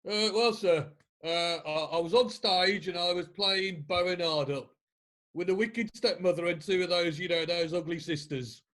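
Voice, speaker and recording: A man's voice: high voice, normal speed, a bit bright. A man playing a cheeky, sardonic pantomime actor giving evidence in a comic courtroom play. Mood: cheeky, rambling. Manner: mocking, cheeky, hesitant when addressing the court.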